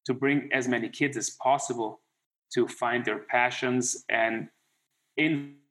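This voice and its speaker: A man's voice: mid-range voice, steady tone, a little slow, bright, forceful tone, low-quality recording. A middle-aged man, a former hockey player, talking in a casual interview with many restarts and a lot of "you know.". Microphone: remote call.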